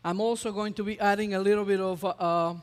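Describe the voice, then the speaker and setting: A man's voice: high voice, steady tone, fast, clean tone, in a large hall. A man from Ecuador, a chef chatting through a live cooking demonstration, hesitant with many fillers.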